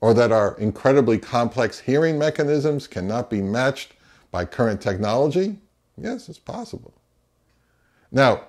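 An American man in his fifties presents a review in an emphatic, opinionated way. His medium-pitched voice moves in lively swings as he puts rhetorical questions and answers them himself. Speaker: audio reviewer and host. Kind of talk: semi-scripted product review to camera. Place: small indoor home office.

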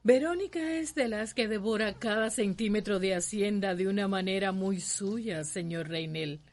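Actress as protective gentry mother, medium pitch. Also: moderate pace, darkish tone, close to an everyday voice. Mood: measured. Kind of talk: radio soap opera acting.

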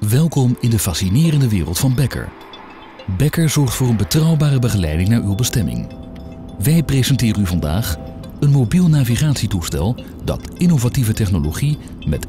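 A man's voice: medium pitch, big pitch swings, normal speed. A man giving a scripted promotional presentation as a voice-over. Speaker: voice-over narrator. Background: music.

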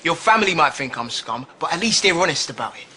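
A man's voice: fairly high pitch, lively intonation, fast, lightly acted. An actor playing a cocky, sardonic young man in a naturalistic TV soap. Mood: affronted. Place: indoors in a home kitchen. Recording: clear background noise.

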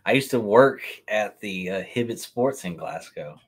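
A man in his thirties talks loosely to his livestream audience, answering chat questions as they come. His voice sits on the low side, easygoing and unhurried. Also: slightly rough. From Kentucky. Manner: relaxed, chatty, answers chat questions on the fly. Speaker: sneaker livestream host. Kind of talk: casual livestream chat reading viewer comments. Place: room with shelves of sneakers, indoors.

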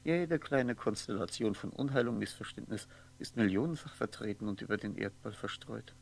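An actor in a 1960s German radio play, playing a lonely, evasive, brooding man with a hesitant, halting delivery. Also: lowish voice, animated, gravelly, slight background noise. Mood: bitterly brooding. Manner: hesitant, halting, self-questioning.

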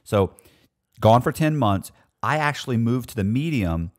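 A man in his forties hosting a casual podcast chat, recapping stories and chiming in, in a small studio on a boom mic. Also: animated. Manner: quick interjecting, recapping the story. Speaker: podcast host. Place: small studio with foam panels. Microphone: boom mic on table.

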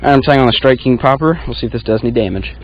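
A young man in his twenties, casually teasing and keeping score out loud while fishing from a small boat on a lake. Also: mid-range voice, very fast, dull audio, slight background noise. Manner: teasing, keeps score aloud. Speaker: fishing vlogger. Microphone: action camera on a chest harness.

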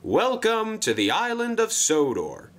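A man voicing a pompous, fussy male railway controller who talks in a brisk, jolly and formal way. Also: sits high, huge pitch swings, fairly bright tone, pitched above the performer's own voice, exaggerated voice.

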